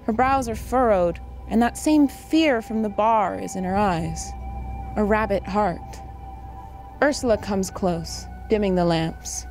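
A woman's voice: mid-range voice, a little slow, a little mellow, soft background music. A woman reading scripted narration and stage directions for an audio drama.

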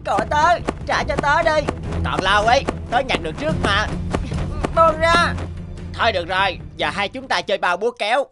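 Excitable young cartoon schoolboy. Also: female voice, fairly high pitch, moderate pace, loud music behind the voice. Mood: negotiating. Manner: childlike, emphatic, dramatic. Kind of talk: animated cartoon dubbing.

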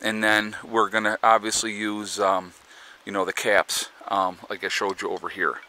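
A man's voice: on the low side, little pitch movement, normal speed, pushed and bright. A man with a Northeastern American accent, walking around his homestead with a handheld camera and talking through a casual vlog, rambling with many fillers and restarts. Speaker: homesteading vlogger. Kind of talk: casual walk-and-talk vlog. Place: outdoors on a rural homestead.

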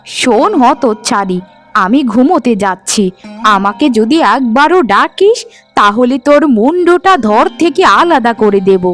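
A woman's voice: fairly high pitch, expressive, a little slow, fairly bright tone, faint music behind. A woman voicing a cruel, scheming stepmother in a cartoon, with harsh, scornful scolding. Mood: scornful, threatening. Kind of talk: melodramatic Bengali cartoon dialogue.

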